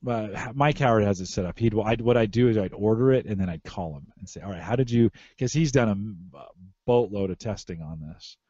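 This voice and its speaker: A man's voice: on the low side, brisk, warm tone, no echo, lo-fi sound. A middle-aged American man hosting a casual tech podcast, repeating phrases for emphasis. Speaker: podcast host. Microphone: close podcast microphone through mixer.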